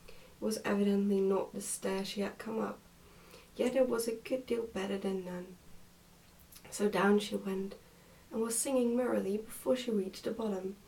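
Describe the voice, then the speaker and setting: Woman, gentle ASMR book narration, near-whisper, dark mellow tone, slightly distant mic. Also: mid-range voice, fairly even intonation, moderate pace, gravelly. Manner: soft-spoken, often near a whisper. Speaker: ASMR reader and host. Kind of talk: soft ASMR book reading.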